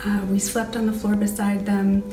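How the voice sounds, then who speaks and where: A woman with a dark, mellow voice and very flat intonation tells her story. She sounds distant in an echoey room, with faint guitar music. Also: mid-range voice, normal speed. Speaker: mother recounting a storm night. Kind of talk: candid personal storytelling.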